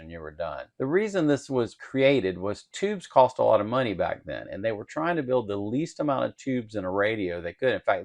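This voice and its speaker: A man giving an informal technical explanation in a plainspoken, folksy, step-by-step way, like a hobby instructor at a workbench. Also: on the low side. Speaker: host of an electronics kit-building channel.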